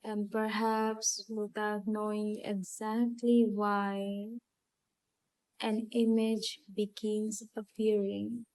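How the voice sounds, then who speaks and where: Woman, hypnotic guided meditation, calm, unhurried, long pauses, even intonation, close dry sound. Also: mid-range voice, no room sound, rough recording. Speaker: hypnotherapy channel host and guide. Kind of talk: guided visualisation, gentle hypnotic storytelling.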